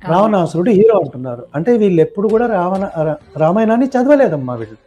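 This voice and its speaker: A man's voice: high voice, big pitch swings, quick pace, mellow. A man speaking as a podcast guest, explaining his opinions point by point in a didactic, conversational way.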